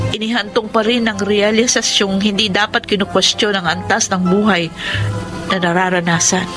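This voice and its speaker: An actress playing an earnest, devoted young daughter in an emotional, deliberate Filipino radio drama style. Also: female voice, medium pitch, lightly acted. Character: earnest young woman, devoted college-student daughter telling her life. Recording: music behind the voice.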